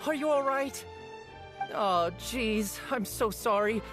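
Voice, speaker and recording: A woman's voice: on the high side, slow, over music. A woman playing an apologetic, earnest young newspaper seller in a video game, with a hesitant, self-effacing manner. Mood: flustered, apologetic.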